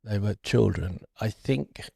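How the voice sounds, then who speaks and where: A man in his forties, born in Ireland and raised in London, speaking as a guest in a recovery interview in a reflective, halting way with frequent restarts. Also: on the low side, gravelly, mellow, slightly rough, no room sound, clean studio sound.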